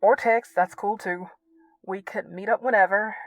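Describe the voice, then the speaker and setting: A man's voice: high voice, steady tone, moderate pace, fairly bright tone, faint music behind, poor audio. An actor playing a shy, sweet young man in an audio drama, talking casually and hesitantly as if leaving a voicemail, rambling a little.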